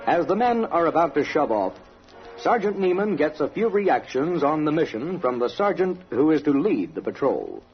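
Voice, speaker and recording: A man's voice: on the high side, big pitch swings, moderate pace. An American man narrating a scripted 1960s radio documentary in a solemn, dramatic, declarative manner. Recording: dull vintage radio broadcast, faint music.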